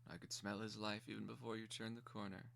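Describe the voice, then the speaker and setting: Man voicing a soft, stern regal great mother. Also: fairly low pitch, level tone, fast talker, vocal fry. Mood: quietly imperious. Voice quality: soft, quiet. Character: sweet, stern, sinister regal mother of toys.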